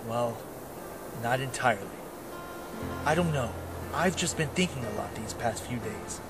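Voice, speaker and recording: A man acts out a world-weary werewolf knight who has become a drifter. He broods and doubts himself in a middle-pitched voice. It is fantasy audio drama, with music plainly underneath. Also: animated, measured pace, gravelly. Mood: frustrated.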